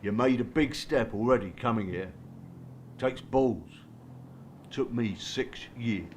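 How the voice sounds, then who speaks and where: A man acts a streetwise mentor with a mid-pitched voice. He is blunt, matey and remorseful, in natural radio drama style, with some background noise behind him. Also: takes it slowly, natural voice. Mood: weary, frank. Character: blunt, streetwise male abuse-group mentor, rough and remorseful.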